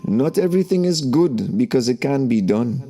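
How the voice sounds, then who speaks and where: A man narrating a scripted spiritual lesson in a deliberate, declamatory, solemn way, like a preaching spoken-word teacher. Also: mid-range voice, animated, dark tone. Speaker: narrator and host of a spiritual story series. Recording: voice over background music. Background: music.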